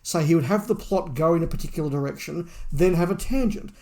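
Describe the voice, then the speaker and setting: A man's voice: a little high, fast, mellow. A man hosting a casual review discussion, talking emphatically and repeating words and phrases to drive his points home. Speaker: podcast host reviewing a book.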